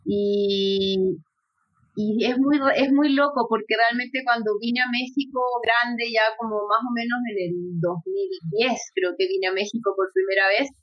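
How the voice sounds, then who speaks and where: A woman's voice: fairly high pitch, steady tone, unhurried. A woman raised in Ecuador, an interview guest, telling her story with frequent laughs and hesitant fillers. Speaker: guest, actress and yoga instructor. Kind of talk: conversational interview. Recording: dull lo-fi audio.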